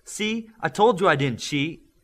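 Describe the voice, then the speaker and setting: A man reading an audiobook, voicing a boastful, competitive young man who talks loudly. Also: fairly high pitch, lively intonation, a little slow, voice pushed up. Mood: cocky. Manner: loud, boastful.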